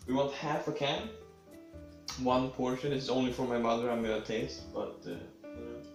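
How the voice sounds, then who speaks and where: A man's voice: mid-range voice, fairly even intonation, normal speed. A young man with a cold, sniffly, rambling offhand and swearing casually as he cooks in his home kitchen. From Sweden. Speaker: home cooking video host. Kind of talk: casual cooking demonstration. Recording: echoey room, mic at a distance, faint music.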